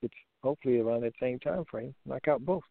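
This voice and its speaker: A man's voice: mid-range voice, quick pace, creaky, dry recording. Male call co-host, mid-range voice, casually moderating questions on a dry, lo-fi phone line. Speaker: co-host reading out listener questions. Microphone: phone conference line. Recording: dull lo-fi conference call line.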